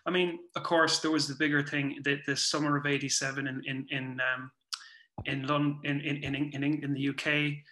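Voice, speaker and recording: A man in his forties with a Cork Irish accent, chatting reflectively as an interview guest and often circling back to rephrase in a halting way. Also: a little high, little pitch movement, pushed and bright. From Cork, Ireland. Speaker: guest, playwright and performer. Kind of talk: casual reflective interview chat. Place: small room at home, indoors. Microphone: computer mic on a video call. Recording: roomy video-call sound.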